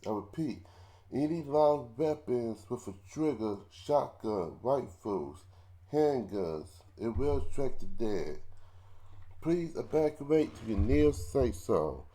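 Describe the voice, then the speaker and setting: A man giving an ASMR roleplay emergency broadcast announcement in a halting, repetitive way with stumbles. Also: medium pitch, lively intonation, warm tone.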